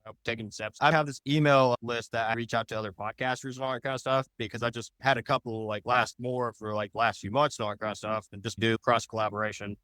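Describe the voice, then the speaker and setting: Fast-talking, clear-voiced man chatting. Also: medium pitch, level tone, bright, forceful tone, dry recording. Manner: rambling, loosely worded. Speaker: podcast guest. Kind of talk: casual interview chat.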